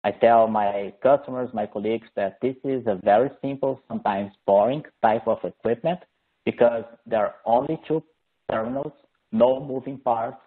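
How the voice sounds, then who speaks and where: A man's voice: medium pitch, normal speed, slightly rough. Brazilian-accented man on a phone-quality line. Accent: Brazilian Portuguese. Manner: hesitant, many "uh" fillers, friendly and explanatory. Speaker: engineer presenting a webinar. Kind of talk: technical webinar presentation. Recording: phone-like narrowband audio.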